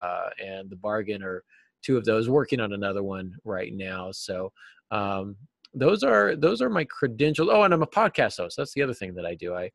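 Middle-aged male interview guest, lowish voice, informal teacherly explanations, close dry sound. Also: sing-song, moderate pace, vocal fry, dry recording. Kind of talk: casual explanatory interview talk. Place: classroom, indoors. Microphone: computer mic on a video call.

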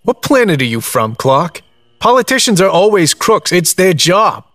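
Streetwise young drifter, fairly high lively voice, cocky wisecracks, audio drama, folksy talk. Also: male voice, moderate pace, dry recording. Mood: cocky, defensive.

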